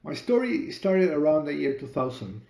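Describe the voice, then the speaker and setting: A middle-aged man from Peru, a native Spanish speaker with a Spanish accent, hosting his own podcast and telling his story in a measured, deliberate way. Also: on the high side, big pitch swings, gravelly, dark tone, reverberant. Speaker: podcast host and author. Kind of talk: storytelling monologue.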